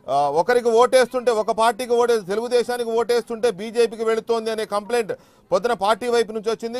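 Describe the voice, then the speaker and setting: A man with a Telugu accent, a news anchor in a studio asking brisk, probing questions in a live interview. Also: very high-pitched, dry recording.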